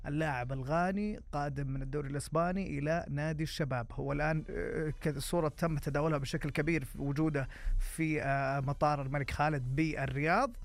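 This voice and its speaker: Saudi guy hosting football radio, talks fast, bit higher voice, stumbles searching for words. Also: fairly even intonation. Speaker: sports radio co-host. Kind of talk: live football talk and news.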